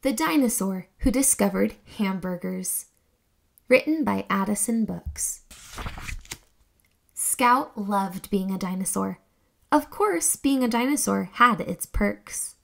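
A young woman hosting a children's read-aloud, reading a storybook in an expressive, deliberate, exclamatory way. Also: medium pitch, creaky.

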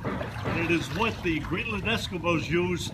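A man in his seventies calmly walks through each kayak roll, unhurried and a little playful. His voice sits slightly high for a man. Wind and lapping water are clearly heard behind him, and the sound comes from a camera some distance away on the water. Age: 70s. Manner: slow, explanatory, gently humorous. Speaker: kayak instructor demonstrating rolls. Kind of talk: casual demonstration narration. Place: outdoors in a kayak on open water.

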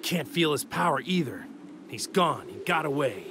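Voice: mid-range voice, lively intonation, moderate pace, background noise, natural voice. An actor voicing a cheerful, bold male martial-arts hero with an eager, boyish, straightforward delivery in an anime video game. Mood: sober. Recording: loud game music behind.

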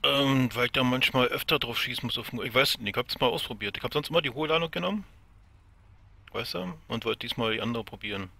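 A man's voice: medium pitch, quick pace, gravelly, pushed and bright. A man from the Potsdam area in Germany, chatting casually while gaming. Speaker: gamer in a squad.

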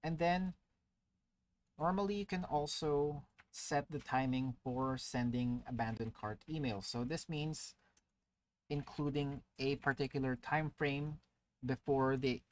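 Male tutorial narrator, mid-pitch, relaxed explainer voice-over, loose and chatty with fillers. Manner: casual explaining with frequent "uh" fillers. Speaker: tutorial presenter. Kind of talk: screen-recorded software tutorial. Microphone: voice-over to screen recording.

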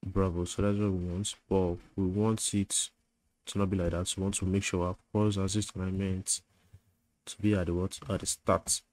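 A man's voice: low-pitched, level tone, normal speed, a little mellow. A man giving a screen-recorded coding tutorial, thinking aloud as he types, with fillers and self-corrections.

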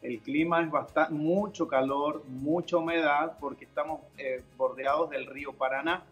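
A middle-aged man speaks in a fairly high voice over faint music. He sounds like a guest chatting casually in an interview. He is on a video call from a room at home. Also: steady tone, moderate pace, muffled recording. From Chile. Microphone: video call device microphone.

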